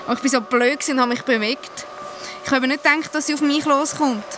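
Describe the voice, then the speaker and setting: A woman's voice: high voice, quick pace, music in the background, natural voice. A woman with a Swiss German accent playing an injured, brave young companion in a radio play, speaking frankly. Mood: earnest, explaining. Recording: clear ambient noise behind.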